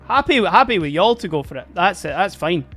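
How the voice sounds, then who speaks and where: A live-streaming miniature painter chatting casually and giggling a lot while painting, a little hoarse from laughing. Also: mid-range voice, lively intonation, a little fast, a bit bright, soft background music. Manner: chatty, giggly, rambling, addresses chat.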